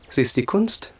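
An actor playing a young crown prince, sarcastic and brooding, in a 1970s radio play. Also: male voice.

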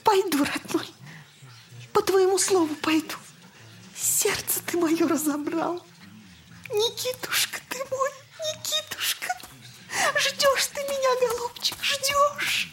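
High-voiced weeping peasant mother. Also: steady tone, takes it slowly, fairly bright tone, full character voice. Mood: tearful, tender. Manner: lamenting, repetitive folk speech. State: crying, tearful. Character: grieving peasant mother mourning her little son. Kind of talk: audio drama acting.

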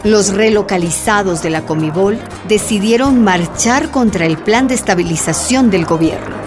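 A woman narrates a radio drama in measured, declarative phrases. Her voice sits in the middle register, with music clearly playing underneath. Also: mid-range voice.

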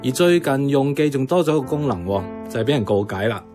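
An actor playing a wry, reflective young tea-restaurant worker in a Hong Kong Cantonese radio drama, narrating and chatting colloquially. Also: male voice, mid-range voice, animated, brisk, music in the background, lightly acted.

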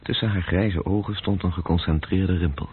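An actor as the grave narrator of a radio play, reading scripted literary prose. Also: male voice, steady tone, normal speed, a little hiss or hum. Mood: sombre, observant. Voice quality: very husky, deep. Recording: dull, lo-fi old radio recording.

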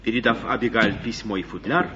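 A man's voice: on the low side, brisk, fairly bright tone. A man giving scripted scene narration for a broadcast stage play. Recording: muffled old radio broadcast, faint hiss.

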